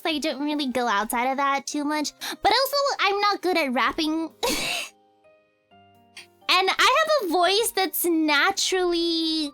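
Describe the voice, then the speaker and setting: A young woman with a very high voice chats on a livestream, rambling and giggling as she answers viewer questions. She often corrects herself mid-sentence. Soft music plays faintly behind her. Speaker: streamer and cover singer. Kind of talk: casual livestream Q&A chat.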